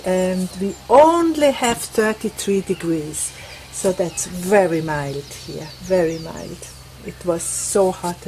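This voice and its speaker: A woman's voice: lowish voice, dark tone, a little hiss or hum, low-quality recording. A woman from Switzerland with a Swiss accent, chatting unhurriedly through her craft papers on an outdoor veranda and listing items one by one. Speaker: crafting vlogger. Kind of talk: casual craft show-and-tell. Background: light breeze.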